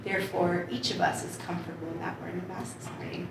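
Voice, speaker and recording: A woman's voice: fairly low pitch, level tone, fast talker, gravelly, crowd noise. A woman conducting an oral history interview in an encouraging, affirming manner. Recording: very echoey, distant, noisy room.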